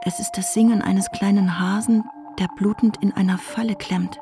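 An actress playing a detached, eerie narrator describing sounds in a radio play. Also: female voice, mid-range voice, steady tone, moderate pace, over music, lightly acted.

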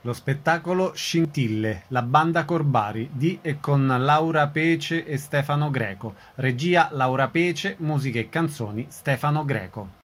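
A man reading a scripted radio announcement in a clear, informational manner, as a programme announcer. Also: a little high, measured pace.

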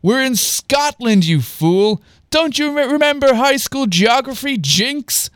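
A flamboyant young man, as a male reader plays him, proud and bossy. He declaims with smug, manic zeal, his raised pitch swinging widely as he holds court in a story. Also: sing-song, moderate pace, fairly bright tone, dry recording, studio quality. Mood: offended. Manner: camp, smug, grandly declaiming.

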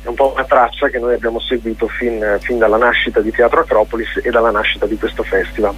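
A man with an Italian accent answers in an informal radio interview as a festival director, speaking haltingly and often repeating words as he searches for phrasing. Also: mid-range voice, flat delivery, a little hiss or hum. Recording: dull, lo-fi radio interview audio.

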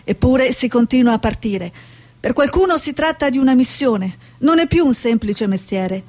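A woman's voice: fairly high pitch, lively intonation, moderate pace, darkish tone. An actress playing a stubborn, earnest woman war reporter in a radio drama. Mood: grave narration. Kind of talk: Italian stage-style radio drama acting.

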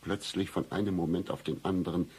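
An actor playing a broke, seedy private detective in a satirical radio play, with florid flirtatious patter and deadpan humour. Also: male voice, sits low, a little fast, husky. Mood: florid, seductive.

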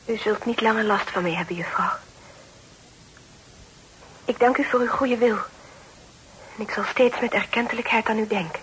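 An actress as a modest, higher-voiced young woman. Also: close to an everyday voice. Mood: hurt, dignified. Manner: courteous, hesitant, soft-spoken formality. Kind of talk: Dutch radio play acting. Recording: noisy old radio play recording.

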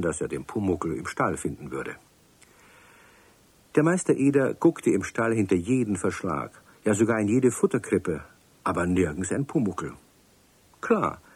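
A man narrating a children's radio play in an expressive storytelling way. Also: deep voice, breathy edge, no echo.

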